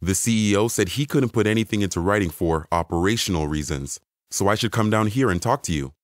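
A man's voice: lowish voice, fairly quick, no echo, clean studio sound, lightly acted. A man playing an insistent new businessman in an audio story, businesslike and pressing.

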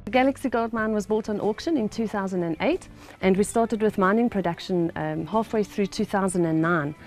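A young woman guides visitors at a mine entrance outdoors, explaining in a plain, matter-of-fact way. Her voice sits at a medium pitch. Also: a little fast, darkish tone, faint music behind. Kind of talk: informative tour talk.

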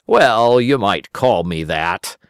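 A man's voice: on the low side, animated, slow, clear, resonant tone, dry recording, clean studio sound. A man doing a kindly old bunny uncle. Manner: gentle, kindly, helpful. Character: kindly old rabbit gentleman.

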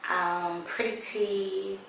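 A young woman with a raspy voice, speaking slowly and playfully as a blindfolded contestant on a blind date. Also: medium pitch, steady tone, fairly bright tone, slight background noise. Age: young adult. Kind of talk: casual chat. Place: living room indoors. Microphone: far camera. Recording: echoey room from far off.

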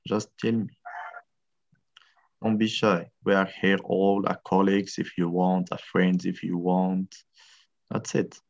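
Male lecturer, very low voice, dull video-call sound; friendly, encouraging, repeats his questions. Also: completely flat delivery, measured pace, pauses often. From Morocco. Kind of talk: online class introductions. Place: indoor room, tiled wall.

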